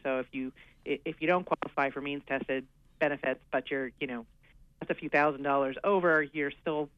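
A man's voice: fairly high pitch, steady tone, fairly quick, smooth, dry recording. A man being interviewed on radio, explaining in a hesitant, earnest way with many fillers and restarts. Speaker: guest coordinating aging services. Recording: dull lo-fi radio sound.

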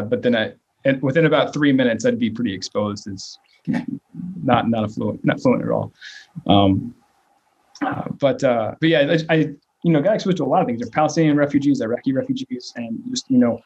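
A man's voice: mid-range voice, fairly quick, a little mellow, slightly rough, dull audio. A man in his thirties from the Virginia area, answering interview questions in a hesitant way with many fillers and restarts. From Virginia / West Virginia area. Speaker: interviewee, nonprofit leader.

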